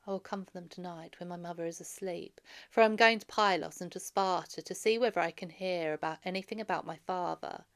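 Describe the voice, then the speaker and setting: A woman's voice: on the low side, a little fast, few pauses, a bit bright. A woman voicing a proud, defiant young prince who declaims earnestly in a storybook reading. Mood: resolute.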